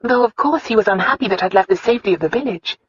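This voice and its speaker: A woman voicing a spirited, candid young wife with an earnest, expressive way of talking in a romance audiobook. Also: medium pitch, quick pace, husky, no echo, highs cut off, pitched above the performer's own voice. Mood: fond, animated.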